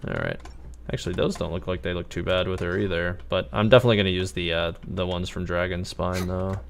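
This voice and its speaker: A man with a fairly low voice streams a game in a casual way. He chats along and thinks aloud as he plays. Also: lively intonation, fast talker, gravelly, slight background noise. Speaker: game livestreamer. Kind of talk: casual gameplay commentary. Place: indoors at a computer.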